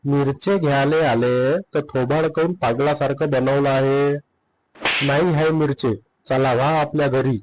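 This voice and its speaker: A man's voice: mid-range voice, fairly even intonation, measured pace, a little mellow, lightly acted, rough recording. A man playing a rude, grumbling husband in a comedy cartoon, blunt and sarcastic with a Vidarbha Marathi accent. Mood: irritated, rude.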